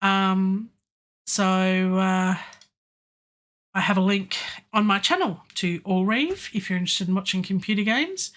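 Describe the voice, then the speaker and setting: A middle-aged woman with a hoarse, sore throat, thinking aloud as she works on a song at home, with lots of ums and pauses. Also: medium pitch, a bit bright. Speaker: music producer streaming a songwriting session. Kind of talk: casual live-stream talk while working. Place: home studio, indoors. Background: faint music playback from the session.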